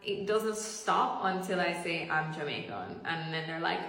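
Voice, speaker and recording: A woman's voice: on the low side, lively intonation, measured pace, gravelly, a bit bright, very echoey, long reverb tail. A woman actress speaking earnestly and candidly in a personal vlog.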